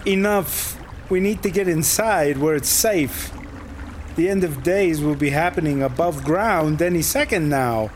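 Casting: male actor as dignified marsupial cult elder for comedy audio drama; measured, formal delivery, higher-set voice. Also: background noise, lightly acted. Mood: urgent, commanding. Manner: formal, ceremonious, pompous. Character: solemn male possum elder of an underground sect. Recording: music behind the voice.

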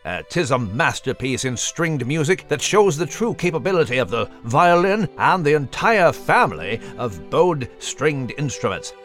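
Pompous cartoon newsreader, fussy and wordy, highly animated pitch, music underneath. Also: male voice, moderate pace, few pauses. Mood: pompous, mock-solemn. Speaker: news segment presenter. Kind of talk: mock news commentary.

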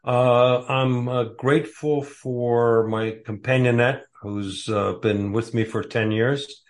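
A man's voice: medium pitch, steady tone, slow. A man in his sixties from the Detroit area, a guest author answering interview questions casually and hesitantly, with many fillers. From Metro Detroit area, USA.

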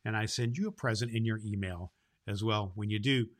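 A man's voice: lowish voice, fairly quick, close to an everyday voice. A man playing a jolly, kindly Santa Claus hosting a children's holiday show, folksy and rambling, repeating phrases for emphasis. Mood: warm, inviting. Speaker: host.

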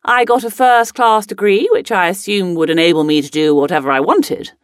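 A woman's voice: mid-range voice, fairly even intonation, brisk, smooth, no echo, studio quality, close to an everyday voice. An actress playing a spirited, headstrong upper-class lady explorer who looks back in a genteel, emphatic dramatic monologue. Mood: spirited, frustrated. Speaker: actor reading a monologue. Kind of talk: scripted first-person dramatic monologue.

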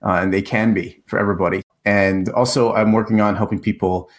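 A man's voice: lowish voice, little pitch movement, brisk. A man in his forties who grew up in the United States, a guest in a casual interview, talking reflectively with frequent restarts and fillers. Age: 40s. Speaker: guest, corporate communication coach. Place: in the Czech Republic.

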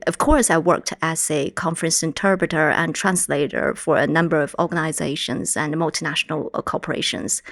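A woman with a Mandarin Chinese accent, a native Mandarin speaker from China, explaining her work in a measured way as a podcast guest. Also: on the low side, no echo, studio quality. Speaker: guest linguistics researcher. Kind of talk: podcast interview.

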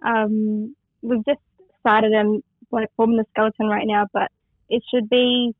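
A woman's voice: on the high side, little pitch movement, a little slow, no room sound. A young woman from Western Sydney, a poet speaking as a guest over the phone, enthusiastic and reflective. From Western Sydney, Australia. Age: young adult. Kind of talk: phone interview. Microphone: phone line. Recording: tinny dry phone line.

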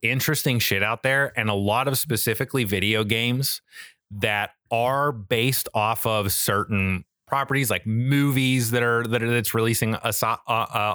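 A man's voice: fairly low pitch, bright, forceful tone, no room sound, studio quality. An American man in his thirties chatting casually as a podcast co-host, enthusiastic, rambling and freely profane. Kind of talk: casual chat.

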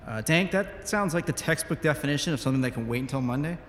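Wisecracking crew scientist, male, quick pace, higher voice, wry and pedantic, sci-fi audio drama. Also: expressive, fairly bright tone, slight background noise.

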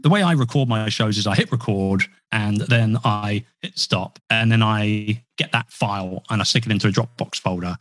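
A man's voice: on the low side, normal speed, few pauses, fairly bright tone, dry recording. A man chatting as a podcast guest, rambling and self-deprecating with frequent restarts. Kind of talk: casual interview talk. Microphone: podcast mic.

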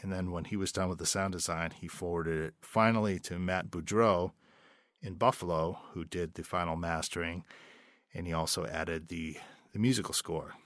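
A man's voice: low-pitched, fairly even intonation, moderate pace, a bit bright, dry recording, studio quality. A man being interviewed about producing an audio drama, talking in a rambling, hesitant way. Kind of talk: interview guest.

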